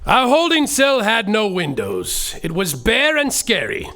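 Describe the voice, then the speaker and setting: A man's voice: sits high, wildly swooping pitch, a little slow, sharp tone. A man narrating and playing a brash, profane detective in a live comedy musical podcast on stage. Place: theater stage before an audience.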